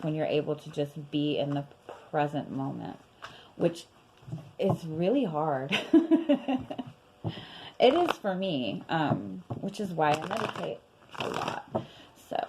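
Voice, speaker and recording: Tarot reader, woman, young adult, low voice going hoarse, rambling and casual, lots of fillers. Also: slight background noise, low-quality recording. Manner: chatty, rambling, many fillers and soft laughs. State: losing her voice. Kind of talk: casual tarot reading vlog.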